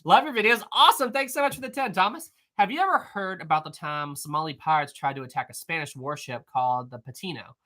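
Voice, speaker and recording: Rambling, animated male live-streamer. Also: on the high side, quick pace, few pauses. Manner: rambling, animated, laughs often, many fillers and restarts. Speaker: history YouTuber hosting a live stream. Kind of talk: casual live-stream chat reading super chats.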